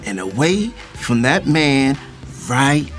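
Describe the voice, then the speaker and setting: A man's voice: mid-range voice, sing-song, slow pace. An actor playing a brash, teasing older brother, a young man trading slangy, mocking banter in an audio drama. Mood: bitter, mocking. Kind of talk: scripted audio drama dialogue. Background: music.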